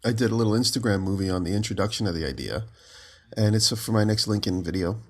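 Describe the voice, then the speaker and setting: A man with a lowish voice, still groggy and a little deeper than normal after waking up early. He rambles loosely through a story over a remote call from his home. His delivery is relaxed and chatty. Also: fast talker. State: just woke up, voice lower than usual. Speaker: podcast co-host. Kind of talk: casual chat.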